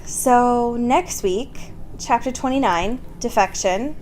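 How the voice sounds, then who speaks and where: Female podcast co-host, giggly and casual, unhurried delivery, noisy recording. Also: fairly high pitch, a little mellow. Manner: giggly, informal, swears casually. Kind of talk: casual chat and reading fan fiction aloud.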